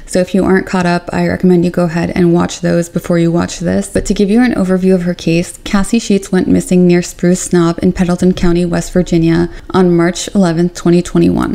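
A young woman hosting a missing-person channel, speaking to camera in an earnest, measured way from a small room at home. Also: on the low side, flat delivery, vocal fry, dark tone. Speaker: YouTube true-crime host. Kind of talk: scripted talk to camera.

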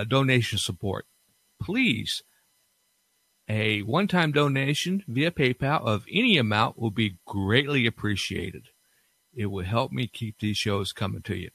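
Unhurried, animated male podcast host. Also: mid-range voice, no room sound. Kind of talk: informal scripted radio-show hosting.

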